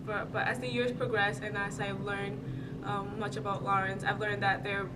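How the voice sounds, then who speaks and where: A young woman in her late teens from the Dominican Republic, answering interview questions earnestly and reflectively, pausing to gather her thoughts. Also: mid-range voice, little pitch movement. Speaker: student interviewee. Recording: noisy background hiss.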